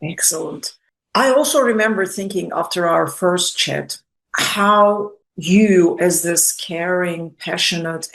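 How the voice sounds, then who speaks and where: A woman hosting a business spotlight interview, speaking in an effusive, complimentary way with deliberate phrasing. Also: lowish voice, vocal fry. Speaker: interview host.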